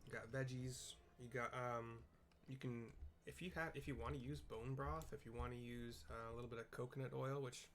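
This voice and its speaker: A man streaming a video game, casually describing scenes and chatting in halting, piecemeal phrases. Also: medium pitch, steady tone, fairly bright tone, slight background noise. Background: video game music.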